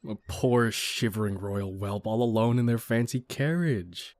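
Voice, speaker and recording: A man's voice: fairly low pitch, a little slow, a little mellow, no echo, studio quality, close to an everyday voice. A man playing a cocky but considerate bandit leader in an audio roleplay, talking to the listener in a casual, folksy, wryly mock-courteous way. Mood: mocking, smug.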